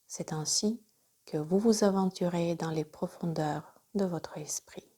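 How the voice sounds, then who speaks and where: Slow, breathy woman guiding relaxation. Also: fairly low pitch. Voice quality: soft, breathy, often near whisper. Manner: calm, measured, instructing in short pauses. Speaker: yoga nidra instructor. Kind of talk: guided relaxation meditation.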